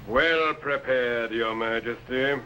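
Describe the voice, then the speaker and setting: A loyal medieval henchman in an old television costume drama. He speaks formally and deferentially in a medium-pitched, sharp voice. Faint noise runs through the old soundtrack. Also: slow. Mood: obedient. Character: dutiful, obedient henchman of a medieval king. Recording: noisy 1950s television soundtrack.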